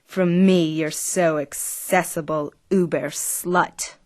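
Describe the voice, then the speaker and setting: A woman's voice: lowish voice, fairly even intonation, slow, a little mellow, dry recording. A woman playing an arrogant, seductive clone in an audio drama, wordy and taunting in manner. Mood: scornful, taunting.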